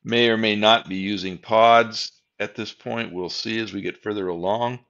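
An older man with a low voice narrates a software tutorial over his screen. He explains each step plainly and methodically. The recording sounds a bit dull and lo-fi. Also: fairly even intonation, moderate pace. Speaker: tech tutorial host.

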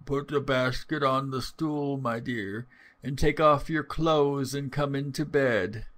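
A man's voice: mid-range voice, fairly even intonation, pitched above the performer's own voice. Man putting on a sly, coaxing storybook wolf. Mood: feigned frailty. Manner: coaxing, drawn-out, falsely gentle. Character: cunning hungry male wolf, feigning a kindly feeble granny.

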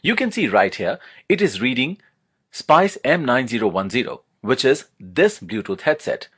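A man's voice: medium pitch, big pitch swings, normal speed, a bit bright, husky. A man narrating a scripted product demonstration, explaining things step by step in an instructional manner.

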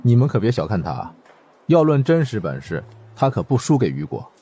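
A man performs a gruff middle-aged chief from an audiobook. He is husky and dark in tone, drawling with lively swings and curt condescension. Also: medium pitch, fairly quick, pitched above the performer's own voice. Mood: condescending. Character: gruff, dismissive middle-aged male department chief, chain-smoker.

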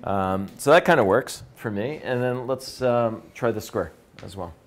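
A man's voice: mid-range voice, moderate pace. A man in his fifties, enthusiastically leading a beer tasting seminar in a brewery room, picked up by a camera from across the room. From Canada. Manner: conversational, enthusiastic, gestures while talking. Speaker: beer educator.

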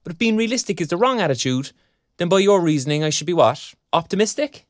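Young Irish graduate, Cork accent, wide-swinging pitch, sardonic, quick-tempered, close dry sound, audio drama. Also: male voice, animated, no echo. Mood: frustrated. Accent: Irish, County Cork. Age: young adult. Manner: colloquial, sardonic. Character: young Irish graduate, restless, sarcastic, hot-tempered protector. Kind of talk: naturalistic audio drama acting.

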